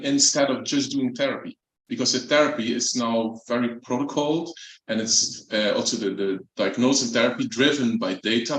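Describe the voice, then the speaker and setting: A man from the Netherlands with a Dutch accent, giving a relaxed, chatty speech as a company executive at a launch event. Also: mid-range voice. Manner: relaxed, chatty, light jokes. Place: event room. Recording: echoey hall.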